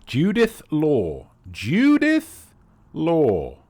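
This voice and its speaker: A man's voice: fairly high pitch, wildly swooping pitch, extremely slow, darkish tone, dry recording. A man hosting a live comedy quiz, rambling and playful with teasing asides to the players. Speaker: quiz host.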